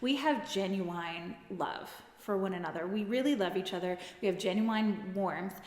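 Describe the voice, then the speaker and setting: A woman's voice: mid-range voice, normal speed, a little mellow. A woman talking casually in an interview as a theatre performer. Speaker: theatre company founder and performer. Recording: echoey, reverberant hall.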